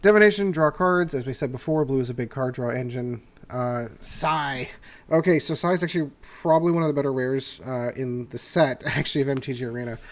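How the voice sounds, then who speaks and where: An older man talks through a card game deck review in a loose, rambling way. His voice sounds a little nasal and stuffed up from a cold, and he fills the gaps with plenty of "uh"s and false starts. His pitch sits right in the middle for a man. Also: a little fast. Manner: rambling with many "uh" fillers and restarts. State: congested from a cold. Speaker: host.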